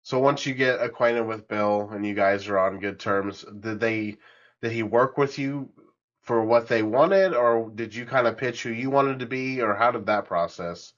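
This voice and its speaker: A podcast host keeps the interview moving with short questions and steady "mm-hmm"s. The voice sits mid-range in pitch. The audio is dull and lo-fi. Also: moderate pace. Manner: brief acknowledgments, laughs along.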